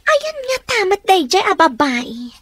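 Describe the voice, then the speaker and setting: A woman's voice: very high-pitched, big pitch swings, measured pace, no room sound, full character voice. A woman with a Filipino accent playing a spoiled, bratty student daughter in a radio drama. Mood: whiny, pouting. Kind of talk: Ilocano radio drama acting.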